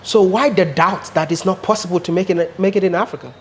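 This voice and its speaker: A male interviewer asks probing questions in a conversational tone. His voice sits a little above the usual male pitch. A faint background noise runs under the recording. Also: fast.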